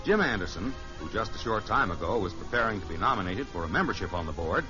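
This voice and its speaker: Animated American radio narrator over music. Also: male voice, fairly low pitch, quick pace. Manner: wry, storytelling. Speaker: show announcer and narrator. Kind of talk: scripted 1950s radio narration. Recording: muffled old radio recording.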